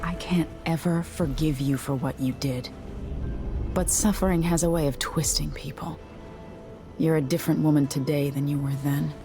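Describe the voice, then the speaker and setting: Stern young empress, low voice, clipped n hurt, game cutscene w music clearly behind. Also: female voice, steady tone, moderate pace. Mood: hurt, resolute. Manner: clipped, controlled. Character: stern, wounded young empress, proud and resolute. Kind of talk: video game dramatic dialogue.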